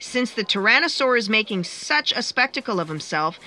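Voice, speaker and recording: A bossy, wry female narrator of a cosmic book. Her voice is fairly high and bright, presenting briskly in a comic sci-fi audio drama. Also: sing-song. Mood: wry, officious.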